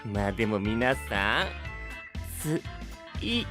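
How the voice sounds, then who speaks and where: An actor playing a courteous but sly and teasing young knight with mock-sweet melodrama, in an anime audio drama. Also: male voice, lowish voice, very animated, slow, over music. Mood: playful.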